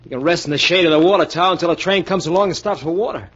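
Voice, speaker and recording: An actor playing a tough, confident, impatient small-time crook on the run in an old radio drama, with slangy, bossy delivery. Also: male voice, on the high side, racing, a bit bright. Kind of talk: 1940s radio drama acting. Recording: muffled, noisy old-time radio recording.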